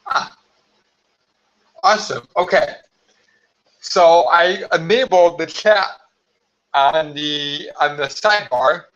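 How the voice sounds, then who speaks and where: A hoarse man chatting casually on a live stream from home, rambling with many fillers. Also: on the high side, slow pace, long pauses, a bit bright. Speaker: vlogger hosting a live stream. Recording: slightly dull lo-fi live stream audio.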